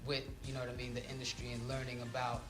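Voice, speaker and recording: Man, from Trinidad, laid-back, lots of fillers, mid-range voice, clear music behind. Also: medium pitch, monotone, fast talker, echoey room. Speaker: guest artist. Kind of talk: casual interview chat.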